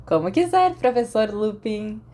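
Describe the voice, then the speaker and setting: A woman's voice: medium pitch, very animated, unhurried, mellow. A woman voicing a brash, fiery teenage schoolgirl in an audiobook, with a cheeky, emphatic and dramatic way of talking. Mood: cheerful, teasing. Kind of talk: audiobook character acting.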